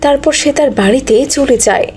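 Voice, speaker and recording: A woman's voice: high voice, brisk, darkish tone, slight background noise. A woman giving scripted narration as the storyteller of a cartoon.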